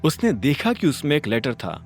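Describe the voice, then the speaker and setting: A man giving dramatic, expressive scripted narration for an audio story. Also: on the high side, moderate pace, soft background music.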